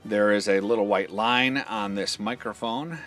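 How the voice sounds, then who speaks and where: A man's voice: lowish voice, unhurried, a bit bright. A man casually explaining a product step by step in an informal hands-on demonstration, pointing out parts as he goes.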